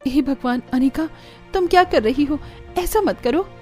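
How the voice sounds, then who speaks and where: A woman's voice: high voice, a little slow, darkish tone, soft background music. A woman voicing a meek, respectful young village daughter-in-law in a Hindi cartoon family drama. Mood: alarmed.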